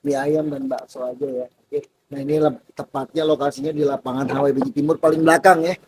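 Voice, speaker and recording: A hoarse man, excitedly vlogging about street food outdoors at a stall and calling his viewers "guys". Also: medium pitch, brisk, a crowd in the background, rough recording. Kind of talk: casual street-food vlog.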